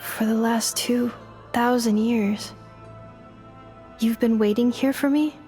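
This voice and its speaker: A woman voicing a calm, composed young female robot in a video game. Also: fairly high pitch, slow pace. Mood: quiet wonder. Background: game music.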